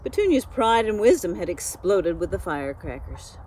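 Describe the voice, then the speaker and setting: A woman reading a children's storybook aloud, expressively and with dramatic pauses. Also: lowish voice, sing-song, darkish tone, slight background noise, poor audio. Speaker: school media specialist reading aloud.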